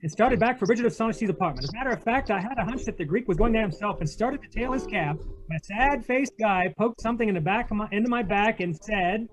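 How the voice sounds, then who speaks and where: Radio noir lead, male actor: sardonic, impatient gumshoe, high-pitched voice, clipped tough-guy lines. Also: lively intonation, quick pace, hardly any pauses. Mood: wry narration. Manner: terse, sardonic, hard-boiled. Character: tough, wry, impatient male private detective. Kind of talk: 1940s radio drama read-through with narration. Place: video call read-through.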